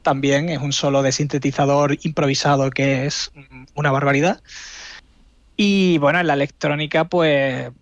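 Higher-voiced man explaining music on radio. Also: steady tone, dry recording. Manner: halting, explanatory, seeks agreement with tags. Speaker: radio music section contributor. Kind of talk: informal radio music talk. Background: music playing underneath.

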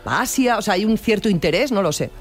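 A man co-hosting an interview, thinking aloud quickly with fillers. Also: high-pitched, lively intonation, soft background music. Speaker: host.

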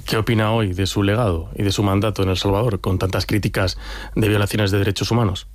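Low-voiced, direct male interviewer. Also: level tone, fast talker. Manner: direct, probing. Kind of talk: formal journalistic questioning.

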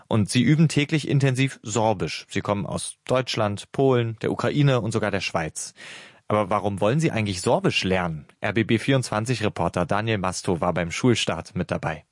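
Radio news host, male, lowish register, dry studio sound, scripted presenting. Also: lively intonation, brisk, no echo.

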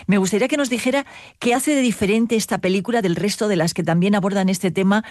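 An interviewer asking polite, measured questions. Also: medium pitch, a little mellow, dry recording, studio quality.

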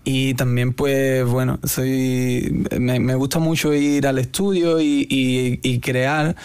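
Andalusian man with a smooth, clear voice. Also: mid-range voice, level tone, a little mellow, no echo. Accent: Andalusian, Cádiz. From Andalusia, Spain. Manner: halting, searching for words. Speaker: guest musician. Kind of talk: casual interview talk.

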